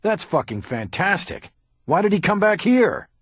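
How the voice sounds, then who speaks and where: A defensive, sarcastic lawyer, put on by a male narrator, his voice lifted well above the narration and pressing hard. The tone stays husky and dry, with a lively swing in pitch. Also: normal speed, no room sound. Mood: heated. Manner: heated, raised and insistent.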